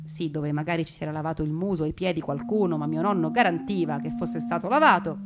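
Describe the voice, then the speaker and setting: A woman narrating a story theatrically, with deliberate emphasis and lingering pauses. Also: deep voice. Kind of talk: theatrical narration of a family war memoir. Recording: music playing behind the voice. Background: music.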